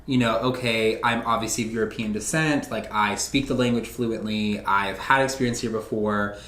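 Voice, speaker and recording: A man's voice: fairly low pitch, fairly even intonation, moderate pace, a bit bright. A man speaking as a podcast guest, chatting casually and candidly. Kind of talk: casual chat. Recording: echoey room.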